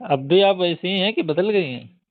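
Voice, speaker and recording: A man's voice: high voice, lively intonation, normal speed, no room sound. A young man, chatting casually as a private tutor over the phone. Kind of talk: casual chat. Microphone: phone call. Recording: dry, slightly tinny phone call recording.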